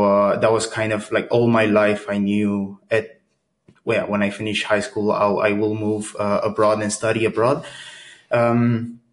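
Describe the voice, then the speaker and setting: Relaxed Romanian man in echoey room. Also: mid-range voice. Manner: relaxed, hesitant, many fillers. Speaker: guest, agency co-founder. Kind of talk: casual interview.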